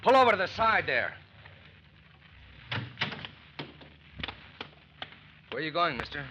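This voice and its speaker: Animated, suspicious federal agent. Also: male voice, on the high side, rapid-fire. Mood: suspicious, demanding. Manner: terse, questioning. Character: brisk, suspicious male FBI agent on a manhunt. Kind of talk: 1940s-50s radio crime drama acting. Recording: muffled old radio recording with noise.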